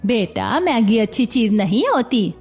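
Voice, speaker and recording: A woman's voice: a little high, very animated, unhurried, a little mellow, slightly rough, faint music behind, full character voice. A woman playing a firm, practical, admonishing mother in a cartoon story. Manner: admonishing, instructive. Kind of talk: Hindi cartoon story dialogue.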